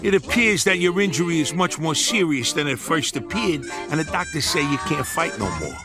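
An actor playing a cocky young hustler, slick and self-assured, in a game cutscene. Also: male voice, fairly high pitch, expressive, fairly quick, over music, close to an everyday voice. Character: cocky young hustler friend, smooth talker.

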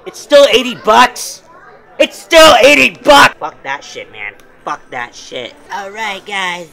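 A young man doing a comic shopping vlog to camera in a manic, giggly way, swinging between mutters and yells. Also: high voice. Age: young adult. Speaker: comedy vlogger and host. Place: large store aisles, indoors. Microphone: handheld camera a short distance away. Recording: clear background store noise.

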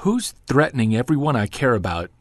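Male actor as distraught, defensive estranged husband, audio drama; mid-pitched, very animated, agitated and halting. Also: no echo, studio quality. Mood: worried, defensive.

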